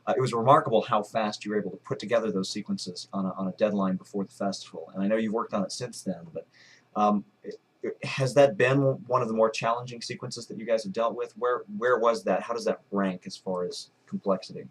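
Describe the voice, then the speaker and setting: Lowish-voiced young man hosting a video blog. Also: fast, slightly rough. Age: 20s. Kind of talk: casual interview and promotional chat. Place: furnished room at home, indoors.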